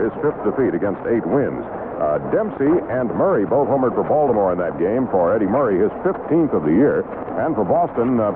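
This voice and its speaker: An American man working as a baseball radio announcer, reading scores and recapping games. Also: mid-range voice. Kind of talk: sports scoreboard and game recap commentary. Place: ballpark broadcast booth. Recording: tinny, noisy 1970s AM radio broadcast.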